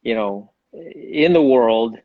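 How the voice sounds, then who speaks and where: A man's voice: mid-range voice, animated, dry recording. Russian-speaking man hosting over dull video call. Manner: friendly, halting, frequent restarts and laughs. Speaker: host and English teacher. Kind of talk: casual online conversation.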